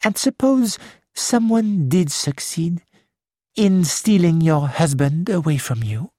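A woman's voice: fairly low pitch, expressive, takes it slowly, dark tone, no room sound, studio quality. A woman reading the part of a fussy elderly male detective with a French-flavoured manner, polite and precise. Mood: courteous.

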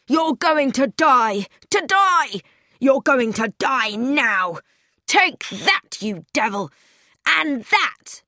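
A woman's voice: medium pitch, slow pace, fairly bright tone, dry recording, studio quality. A woman voicing a mad, vengeful young man who rants melodramatically in an audiobook. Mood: murderous frenzy. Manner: ranting, crying out.

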